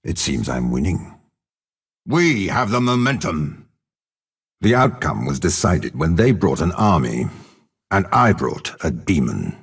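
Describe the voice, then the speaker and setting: Very deep, gravelly demonic warlord. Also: male voice, heavily acted. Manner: slow, measured, menacing, haughty. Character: ruthless, imperious older male warlord bound to a demon. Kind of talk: dramatic video game character voice lines.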